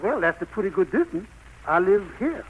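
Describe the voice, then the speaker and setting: A man's voice: high voice, big pitch swings, brisk, narrow, tinny audio. A man playing a humble, hospitable country preacher with a Southern US accent, in 1940s radio drama style. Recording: noisy vintage 1940s radio broadcast.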